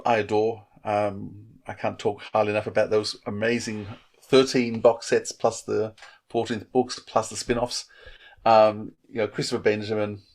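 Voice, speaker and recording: A man co-hosting a podcast, chatting enthusiastically and rambling a bit. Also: fairly low pitch. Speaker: podcast co-host. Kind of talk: casual podcast chat.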